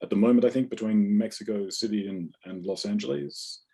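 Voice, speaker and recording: A man interviewing a guest, asking careful, measured questions. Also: fairly low pitch, fairly even intonation, gravelly, dark tone, breathy edge. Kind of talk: interview conversation.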